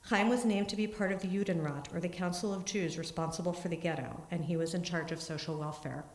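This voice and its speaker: A woman's voice: lowish voice, fast talker. Woman speaking in an echoey sanctuary. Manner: earnest, steady, with small restarts. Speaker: congregant giving a family history talk. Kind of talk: prepared talk then answering questions. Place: synagogue sanctuary. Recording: echoey room.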